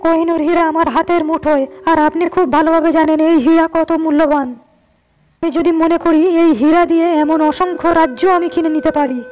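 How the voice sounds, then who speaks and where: A woman's voice: extremely high pitch, level tone, a little fast, a little mellow. A woman voicing a humble, kind-hearted young shepherd in a storybook cartoon, speaking earnestly. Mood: determined. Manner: earnest, pleading.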